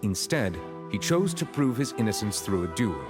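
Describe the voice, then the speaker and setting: A stern judge in a video game, presiding with formal gravity. His voice is on the low side, and his delivery is measured and authoritative. Music plays clearly underneath. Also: very animated. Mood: solemn, measured. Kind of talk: scripted game dialogue.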